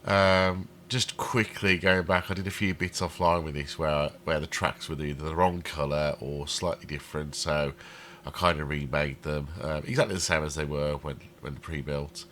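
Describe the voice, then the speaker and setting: Man, extremely low voice, throaty from a cold, casual gameplay commentary, lots of ums. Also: fairly even intonation, moderate pace, a bit bright, a little hiss or hum. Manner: rambling, many ums and restarts. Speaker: channel host building a theme park in a game.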